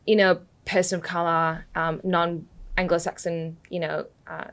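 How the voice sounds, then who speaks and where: Woman guest, Australian accent mixed with British; chatty, easy laughter, slightly low register. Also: level tone, a little slow, a bit bright. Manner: chatty, laughs often, many "you know" fillers. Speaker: podcast guest. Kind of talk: casual interview chat.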